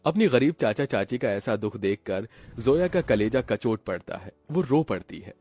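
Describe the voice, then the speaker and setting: A man's voice: medium pitch, expressive, moderate pace, darkish tone, husky, no room sound. A man narrating a scripted story for a cartoon.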